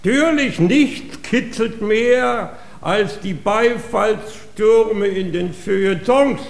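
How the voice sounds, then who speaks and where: Very high-voiced, pompous music master. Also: male voice, slow pace, vocal fry, reverberant, a little hiss or hum, full character voice. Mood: wry, worldly. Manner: pompous, theatrical. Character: worldly, money-minded male music master, self-important. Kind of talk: German radio play, theatrical comedy acting.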